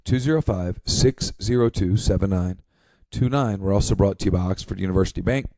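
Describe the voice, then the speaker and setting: Low-voiced, mellow man co-hosting a podcast. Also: brisk, no room sound. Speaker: podcast co-host. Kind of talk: casual storytelling.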